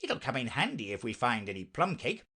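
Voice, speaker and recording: Storybook knight, done by a male narrator: earnest, bumbling old eccentric, fussy emphasis, pitch swinging widely. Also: huge pitch swings, a little fast, bright, forceful tone, husky, full character voice.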